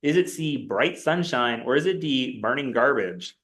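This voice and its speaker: A man's voice: mid-range voice, expressive, normal speed, fairly bright tone. A male instructor running a quiz review game with a class, reading multiple-choice options aloud with a rising, questioning tone. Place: lecture classroom. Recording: echoey classroom.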